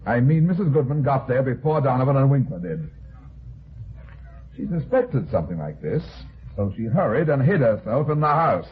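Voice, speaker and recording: A man's voice: medium pitch, big pitch swings, moderate pace, slightly rough. A man playing a tough, sardonic, wisecracking private detective in a 1950s radio drama. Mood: confident, taunting. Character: hard-boiled, sardonic male private detective. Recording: noisy, tinny vintage radio broadcast recording.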